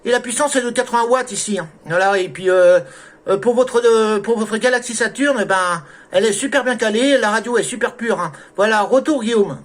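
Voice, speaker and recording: High-voiced man chatting on radio. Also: fairly quick, a bit bright. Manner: frequent "hein" and "là" tags. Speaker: amateur radio operator. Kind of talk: casual radio contact chat. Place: at home radio station. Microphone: desk microphone through a mixer.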